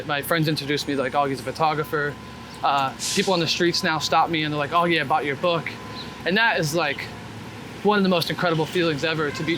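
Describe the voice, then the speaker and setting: A man chatting casually and candidly in slangy, sweary talk while out walking on a city street. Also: fairly high pitch. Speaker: guest, street photographer and former BMX vlogger. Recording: noisy city street with traffic. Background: clear traffic and street noise.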